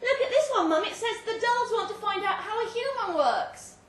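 A woman's voice: very high-pitched, expressive, normal speed, a bit bright, pitched above the performer's own voice. A woman voicing a curious, eager little girl in a live story reading, calling out in a childlike way. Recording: roomy live venue, distant mic, faint noise.

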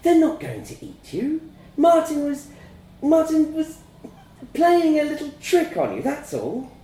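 Man putting on a high-pitched, concerned mum. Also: big pitch swings, measured pace, reverberant, exaggerated voice, poor audio. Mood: soothing. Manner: soft, cooing, soothing. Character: gentle, cooing, concerned young boy's mother.

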